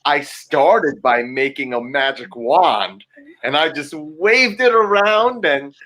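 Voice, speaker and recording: A man's voice: on the high side, expressive, slow pace, sharp tone, full character voice. A man playing a cheery, whimsical magic-loving man in an improv comedy scene, sweet and gushing.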